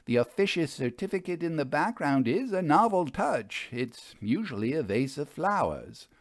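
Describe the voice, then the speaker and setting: A brisk lady investigator from a storybook, put on by a man, skeptical and blunt. She talks formally with dry knowingness. The intonation is very lively, in a close dry recording. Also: a little high, no room sound, pitched above the performer's own voice. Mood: exasperated, knowing. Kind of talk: dramatized audiobook storytelling.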